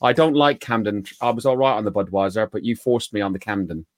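A man's voice: fairly low pitch, fast, dry recording, clean studio sound. An Irish man hosting a casual fan chat show, talking in a rambling way with many restarts. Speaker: podcast host.

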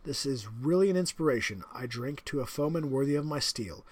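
A man voicing a suave, menacing aristocratic hunter in a read-aloud story, with genteel, relishing emphasis and a husky voice. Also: mid-range voice, expressive, fairly quick, dry recording, pitched above the performer's own voice, natural voice.

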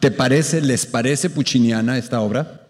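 A man's voice: medium pitch, normal speed. A man with a Mexican accent moderating a post-show panel as a critic, putting questions to his guests. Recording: long hall reverb.

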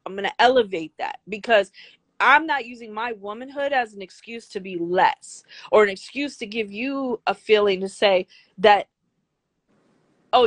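An older woman with a high, girlish voice, speaking bluntly and emphatically as an interview guest, swearing freely. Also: no room sound. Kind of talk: candid interview talk.

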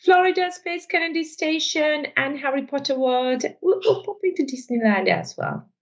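A woman's voice: sits high, animated, normal speed, rough recording. A woman in her forties with a Sri Lankan accent, a guest on a podcast, speaking thoughtfully and frankly with hesitant fillers. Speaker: technology executive, guest. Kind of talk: podcast interview.

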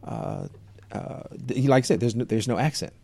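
A man co-hosting a casual political podcast, speaking haltingly and repeating words as he gathers his thoughts. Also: medium pitch, darkish tone, no room sound. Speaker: podcast co-host.

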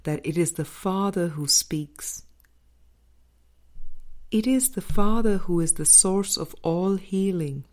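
Slow, low-voiced woman reading an audiobook. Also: a little mellow. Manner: measured, deliberate phrasing with frequent pauses. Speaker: audiobook reader. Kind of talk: scripted audiobook narration of a spiritual dialogue.

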